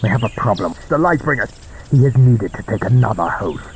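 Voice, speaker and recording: A man's voice: medium pitch, animated, fairly quick, noisy background, natural voice. An actor playing a stern male superior giving clipped orders by radio. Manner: clipped, authoritative. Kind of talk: audio drama acting.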